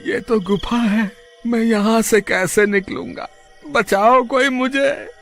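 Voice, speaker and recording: A man's voice: very high voice, fairly even intonation, slow pace, fairly bright tone, faint music behind, heavily acted. A man voicing a frightened, whiny young village man who whimpers and cries for help in a cartoon. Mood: panicked. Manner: whimpering, panicky, crying out.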